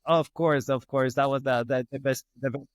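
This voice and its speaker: A middle-aged man from Ecuador with a Spanish accent talks casually as a podcast guest. His voice is clean and smooth, in a dry recording, and he often repeats phrases to make a point. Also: mid-range voice, steady tone, moderate pace, dry recording. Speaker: podcast guest, hospital business owner. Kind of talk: casual interview storytelling.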